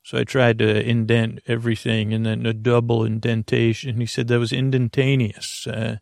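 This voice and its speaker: A man with a creaky voice hosting a bedtime podcast in a slow, halting, soothing ramble full of tangents. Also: mid-range voice, little pitch movement, darkish tone, dry recording, studio quality. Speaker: podcast host.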